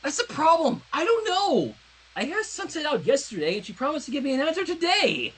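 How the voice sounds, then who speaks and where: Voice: sits high, huge pitch swings, brisk, fairly bright tone, pitched above the performer's own voice, exaggerated voice, poor audio. A fan fiction reader voicing a jealous, hurt, lovesick young student girl, pleading and indignant.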